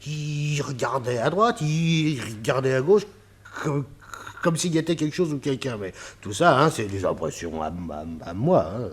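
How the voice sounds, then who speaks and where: A man's voice: medium pitch, expressive, moderate pace, husky. An actor playing a nervous, sheepish man in a detective radio drama, stammering and repeating his words as he hesitates. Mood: uneasy, wary.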